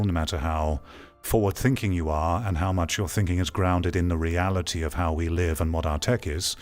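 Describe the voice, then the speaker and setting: A man with a low-pitched voice delivers an opinionated monologue to his online audience. He is blunt and emphatic, setting out his phrases deliberately and repeating them for stress. Faint music plays behind him. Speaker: host, online commentator and streamer.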